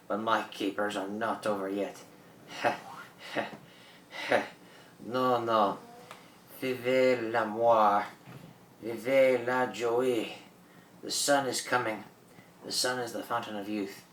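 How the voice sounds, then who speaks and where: A forceful, theatrical old French pirate. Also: medium pitch. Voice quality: halting, thickened speech. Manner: theatrical, boastful, peppered with French exclamations. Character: ancient French pirate captain, proud, ailing, defiant. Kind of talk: radio drama acting. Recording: echoey room, distant mic, background noise.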